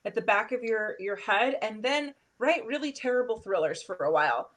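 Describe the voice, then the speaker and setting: A woman's voice: on the high side, moderate pace. A woman hosting an interview who is also a teacher, speaking with enthusiasm and acting out what other people say. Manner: enthusiastic, animated, acts out quotes. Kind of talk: interview conversation.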